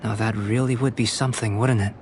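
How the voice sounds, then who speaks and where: A man's voice: fairly low pitch, steady tone, background noise, lightly acted. Cool, analytical young alchemist, played by a man. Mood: composed, musing. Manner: measured, analytical, precise, professorial. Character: cool, cerebral young male alchemist, calm and detached. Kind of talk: anime-style video game voice acting.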